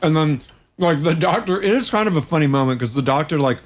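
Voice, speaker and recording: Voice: medium pitch, lively intonation, fast. A podcast host chatting casually about pop culture in an informal, wry, joking manner.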